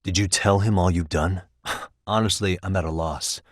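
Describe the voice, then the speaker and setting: Low, clean-cut streetwise male detective. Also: steady tone, normal speed, dry recording, clean studio sound. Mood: grave, subdued. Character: determined, streetwise male detective, dogged and wry. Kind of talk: scripted video game dialogue.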